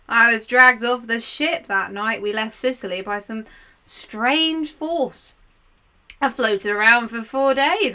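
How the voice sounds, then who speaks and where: A woman's voice: fairly high pitch, bright, forceful tone, clear, resonant tone. A woman voicing the chatty ghost of a drowned male sailor who complains wryly in a told myth.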